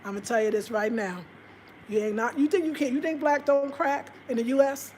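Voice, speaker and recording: An American woman in her fifties chatting casually and expressively to viewers, telling stories in an animated, conversational way. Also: high voice, brisk, a little hiss or hum. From United States. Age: 50s. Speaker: vlogger. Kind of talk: casual livestream chat, storytelling to viewers.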